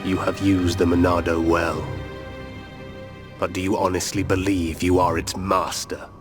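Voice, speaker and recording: A grave video game war leader with a low voice and a very slow, measured pace. He speaks formally and solemnly, weighed down by sorrow and old vengeance. Music plays clearly behind him. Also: little pitch movement. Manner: solemn, formal, deliberate delivery. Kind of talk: dramatic video game cutscene acting.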